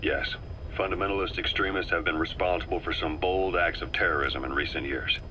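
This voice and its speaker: An actor playing a worldly, composed military operative in a game, briefing a comrade in a measured, matter-of-fact way. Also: male voice, deep voice, fairly even intonation, bright, forceful tone. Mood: sober, informative. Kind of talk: scripted game dialogue, expository briefing. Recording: faint background noise, cassette tape briefing.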